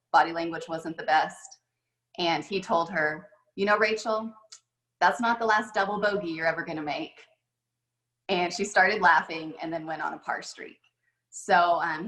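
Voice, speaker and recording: A woman's voice: lowish voice, a bit bright. A woman head golf coach telling stories in a casual, chatty way with many fillers, over a video call. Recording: echoey room on a video call.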